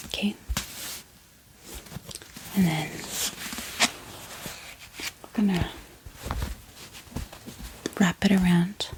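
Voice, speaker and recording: A woman hosting a bookish ASMR video in a soft whisper, talking gently and informally to her viewers without hurry. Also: lowish voice, fairly even intonation, drawn-out words, long pauses, background noise. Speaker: book haul ASMR host.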